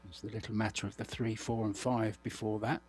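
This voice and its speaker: A man giving measured, understated live commentary on a billiards match, his voice slightly croaky. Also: lowish voice, flat delivery, a little mellow. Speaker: sports commentator. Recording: broadcast with clear background hum.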